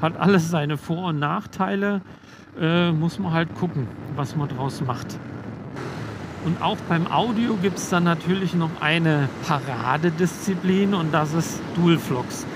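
A young man riding a motorcycle on country roads, casually explaining gear through his helmet intercom mic, relaxed, with frequent filler words. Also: a little high, unhurried. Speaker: motovlogger. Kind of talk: casual motovlog talk while riding. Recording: helmet mic with road noise. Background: road and vehicle noise.

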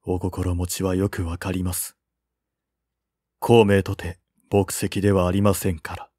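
Man acting low, calm, stern strategist. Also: breathy edge, no room sound, clean studio sound. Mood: quiet admonishing. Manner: quiet, measured, admonishing. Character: calm, stern male strategist counselling his king.

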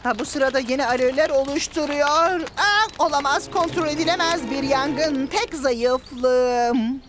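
A woman voicing a boastful, clumsy, excitable crow in a children's cartoon, talking pompously like a theatrical superhero. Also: high voice, unhurried, over music. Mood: panicked. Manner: pompous self-narration in third person, theatrical.

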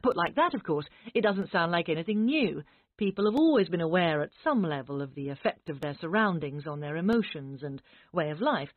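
A woman's voice: lowish voice, big pitch swings, a little fast, dry recording. A woman explaining an academic subject in a conversational way, like a college teacher. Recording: thin phone-like audio, dry.

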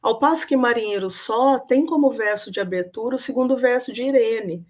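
A woman's voice: a little high, fairly quick, a little mellow. Hesitant woman giving an online lecture. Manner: thoughtful, many hesitation fillers. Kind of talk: academic talk on song and literature. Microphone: webcam on video call.

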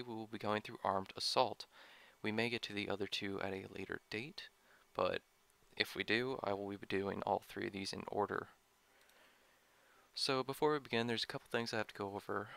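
Young man, casual gaming commentator, low gravelly voice with a bright edge. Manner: casual, chatty, self-deprecating. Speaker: gameplay commentator. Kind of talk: let's play commentary.

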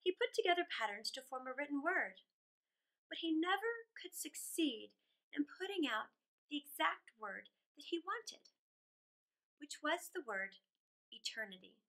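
A middle-aged woman reading a fairy tale aloud to children, expressively, with dramatic pauses. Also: high voice, sing-song, bright, forceful tone, poor audio. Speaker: children's storytime reader. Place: small indoor room in front of a plain wall.

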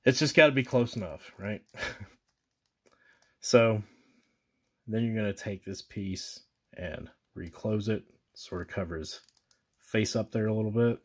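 Man with a very low voice, relaxed toy-review host walking through steps at a tabletop, off-camera mic. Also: takes it slowly, long pauses. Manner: casual, talks viewers through steps with fillers and self-corrections. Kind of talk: casual hands-on toy demonstration. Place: indoors at a table with a posterboard backdrop.

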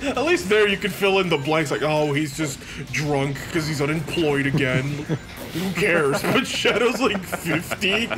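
A man's voice: a little high, animated, a little slow. A man giving casual video game commentary as a let's player, joking sarcastically and acting out mock dialogue. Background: video game music.

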